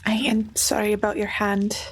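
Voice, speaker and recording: A woman's voice: mid-range voice, little pitch movement, normal speed, slightly rough. A woman playing a tabletop game, improvising in character and narrating what her character does. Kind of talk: improvised role-play and narration.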